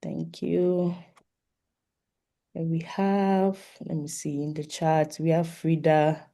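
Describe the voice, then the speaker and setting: Mellow-voiced host of an online meeting. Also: medium pitch, level tone, slow pace, vocal fry. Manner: polite, inviting participants to introduce themselves. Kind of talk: informal moderating of a video call meeting. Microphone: video call microphone.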